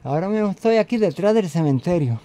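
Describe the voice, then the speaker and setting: A man from Puerto Rico with a Puerto Rican accent, vlogging casually as he walks outdoors, halting, with many fillers and restarts. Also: sits high, expressive, mellow. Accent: Puerto Rican Spanish. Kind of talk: casual walking vlog. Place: outdoors, walking.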